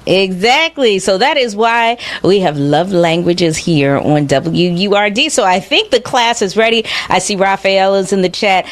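A woman's voice: medium pitch, unhurried, few pauses. A woman hosting a radio show, enthusiastic and encouraging as she chats and greets listeners. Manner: enthusiastic, encouraging, reads listener chat aloud. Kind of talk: casual radio chat.

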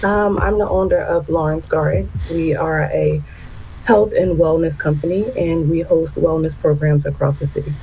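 A woman's voice: deep voice, fairly even intonation, moderate pace, gravelly, warm tone, a little hiss or hum, low-quality recording. A woman who owns a wellness business, answering radio interview questions in a composed, explanatory way. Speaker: business owner guest.

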